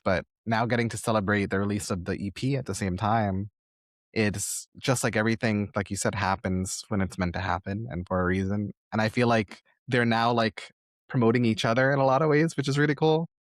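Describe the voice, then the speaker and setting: A man's voice: lowish voice, no echo, clean studio sound. A man hosting a conversational podcast interview, speaking in an encouraging, supportive manner. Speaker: podcast host interviewing a guest.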